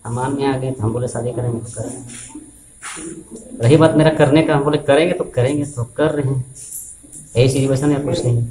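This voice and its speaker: A man's voice: medium pitch, fairly even intonation, moderate pace, long pauses, background noise. A young man giving casual answers in a field interview. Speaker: groom being interviewed.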